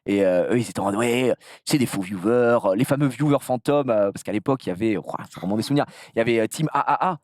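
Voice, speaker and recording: A man from the south of France chatting casually as an interview guest, telling anecdotes in an animated, slangy way with some crude language. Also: mid-range voice, quick pace, no echo, clean studio sound. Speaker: streamer being interviewed.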